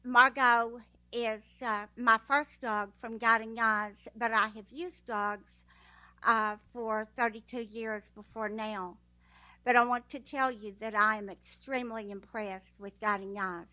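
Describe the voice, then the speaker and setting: A woman with a Texas accent giving a slow, heartfelt graduation speech with fillers. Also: fairly high pitch, fairly even intonation, pushed and bright, poor audio. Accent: Texan. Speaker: guide dog graduate. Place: ceremony room.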